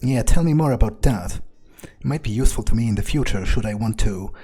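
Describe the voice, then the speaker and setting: A man improvises a cynical, brooding vampire during a video game. His voice is dark and relaxed, with halting phrases as he thinks aloud, and faint music plays behind him. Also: lowish voice, breathy edge. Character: cynical, foul-mouthed male vampire musing on power. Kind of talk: improvised in-character game roleplay.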